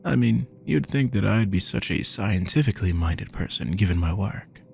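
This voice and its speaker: A man voicing a courteous, predatory male vampire who poses as a lab technician, speaking softly and teasingly and sometimes dropping to a whisper. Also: on the low side, lively intonation, gravelly, a little hiss or hum. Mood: playful. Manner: slow, soft-spoken, teasing, drops to whispers. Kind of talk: audio roleplay to the listener.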